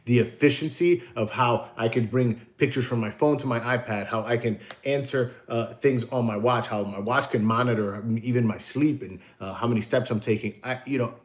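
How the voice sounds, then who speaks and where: Rambling American man on a livestream. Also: medium pitch. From United States. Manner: rambling, digressive storyteller. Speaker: livestream host; tattoo artist. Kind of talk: casual livestream chat.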